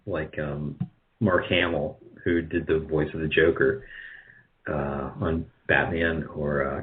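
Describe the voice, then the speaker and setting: A man chatting casually as an interview guest, thinking aloud in a hesitant, rambling way. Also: a bass voice. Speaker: guest, radio drama producer. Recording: muffled, band-limited call audio.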